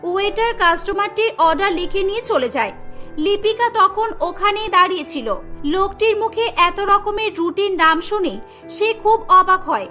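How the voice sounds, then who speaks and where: A woman narrating a cartoon story in a clear storytelling manner. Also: extremely high pitch, animated, normal speed, pushed and bright, faint music behind.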